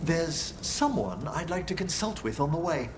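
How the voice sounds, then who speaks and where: A man's voice: a little high, sing-song, normal speed, background noise. An actor playing a courteous, scholarly necromancer professor in a video game, a middle-aged man with formal, eloquent speech. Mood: calm, instructive. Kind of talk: video game character acting.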